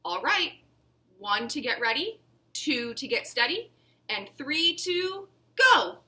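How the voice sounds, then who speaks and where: A woman reads as a storybook father organising children's party games. The delivery is bright and emphatic, with lively rises and falls. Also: sits high, slow, pitched above the performer's own voice, exaggerated voice. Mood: instructive, rousing. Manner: emphatic, instructive.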